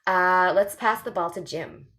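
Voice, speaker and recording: A woman's voice: lowish voice, a little slow, fairly bright tone. A woman from Canada hosting an online sharing circle, upbeat and encouraging in a casual way. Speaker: circle host. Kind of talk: casual group welcome, video call.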